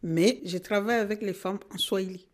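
A middle-aged woman from Congo, a Kinande speaker, answering in a radio interview in an earnest, measured way. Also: mid-range voice, fairly even intonation. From Democratic Republic of the Congo. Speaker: social worker and guest.

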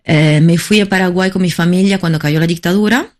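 A woman from Italy, a filmmaker who is not a Spanish speaker, answering interview questions in a halting way, often searching for words and restarting. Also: fairly low pitch. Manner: halting, searching for words, many repeated starts. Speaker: documentary filmmaker, interview guest.

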